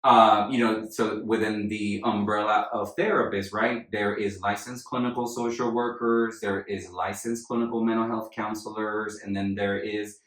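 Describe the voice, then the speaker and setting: A man's voice: fairly low pitch, steady tone, moderate pace, hardly any pauses, fairly bright tone. A man from Puerto Rico, raised in Florida, co-hosting a podcast as a family therapist and explaining ideas with frequent "right?" checks. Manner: explanatory, many "right?" tags. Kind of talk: casual podcast chat. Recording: echoey room.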